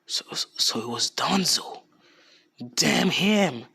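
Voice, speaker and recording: A man's voice: medium pitch, lively intonation, slow, bright, forceful tone, breathy edge, full character voice. A man voicing a bitter, vengeful young male ninja in a muttered, half-whispered voice.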